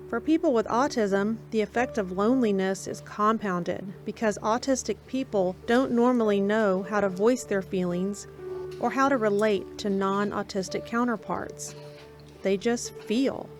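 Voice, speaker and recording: Calm woman narrating a documentary. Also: mid-range voice, a little slow, soft background music. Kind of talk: scripted narration.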